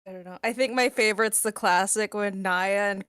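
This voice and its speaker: A woman's voice: mid-range voice, moderate pace, a bit bright, clean tone, no echo. A woman chatting casually as a panel guest, acting out bits of dialogue as she goes. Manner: acts out quoted lines. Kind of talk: casual chat.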